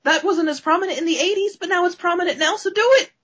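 A woman's voice: very high-pitched, fast talker, a bit bright. A woman co-hosting a casual fan discussion, speaking emphatically and with enthusiasm. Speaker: podcast co-host. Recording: dull, lo-fi podcast audio.

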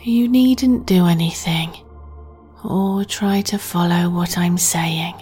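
A woman guiding a sleep meditation in a soft, breathy near-whisper, gently and with long pauses. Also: fairly low pitch. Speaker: meditation guide and podcast host. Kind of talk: guided sleep meditation, soothing narration. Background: meditation music.

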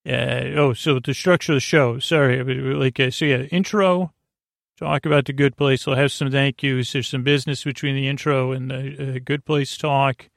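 A man hosting a bedtime podcast in a creaky voice, meandering soothingly through halting false starts and tangents. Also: medium pitch, fairly even intonation, dry recording, clean studio sound. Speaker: host of a bedtime sleep podcast. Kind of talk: rambling, soothing bedtime monologue.

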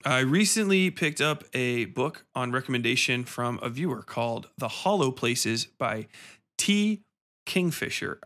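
Male reviewer-host, medium pitch. Unscripted solo monologue, colloquial and blunt, swears freely. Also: fairly bright tone. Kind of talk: casual off-the-cuff review monologue.

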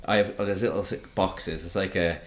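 A man with a low voice hosts a podcast, asking questions in a thoughtful, slightly hesitant way. His voice rings out in a roomy, echoey space. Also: steady tone, brisk, a little hiss or hum. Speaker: podcast host, teacher. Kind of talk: casual interview chat. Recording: roomy echo.

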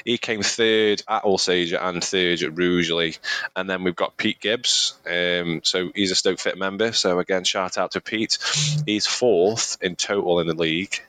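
A man's voice: low-pitched, steady tone, normal speed, bright, forceful tone, dry recording. A man co-hosting a podcast, chatting casually and rambling with restarts. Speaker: podcast co-host, amateur runner. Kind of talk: casual chat.

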